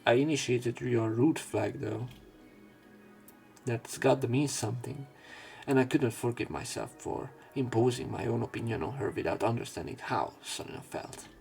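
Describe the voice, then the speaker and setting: A man reading a visual novel aloud as a let's player, in an expressive, dramatic narration style. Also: mid-range voice, normal speed, gravelly, soft background music. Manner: expressive, with emotional swells.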